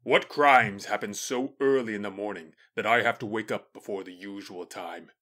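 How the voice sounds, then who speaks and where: A man's voice: on the low side, normal speed, bright, forceful tone. A man playing an irritated, imperious prince in an audio drama. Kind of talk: audio drama acting of modernized Shakespeare.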